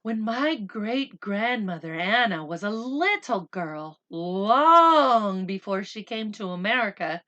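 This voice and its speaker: A woman reading a picture book aloud like a teacher, slow and expressive in the story, chattier when she stops to explain words simply for children. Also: medium pitch, muffled recording. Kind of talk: read-aloud storytelling with casual explanatory asides.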